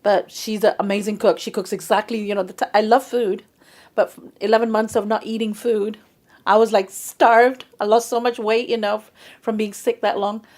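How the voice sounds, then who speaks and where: Woman, chatty, animated storyteller, mid pitch, relaxed conversation. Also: normal speed. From England. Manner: chatty, animated storyteller who acts out quoted speech. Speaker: guest.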